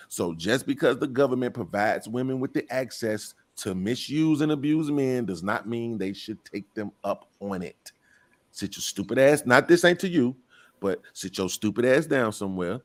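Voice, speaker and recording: A middle-aged man on a podcast panel, talking bluntly and profanely in a preachy rant. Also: mid-range voice.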